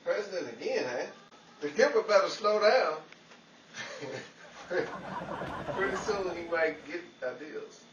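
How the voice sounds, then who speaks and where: A man's voice: a little high, distant mic. A man playing a cocky, hapless husband and out-of-work actor in an old-time radio comedy, wisecracking and bickering. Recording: muffled, noisy, echoey, off-mic amateur radio play.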